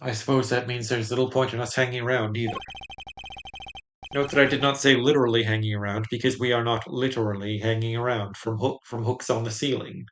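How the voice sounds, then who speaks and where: A composed, refined young male prosecutor read by a man speaks in a dry, measured way. The delivery stays quiet and low-key. His tone is bright. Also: steady tone, slight background noise, close to an everyday voice, poor audio.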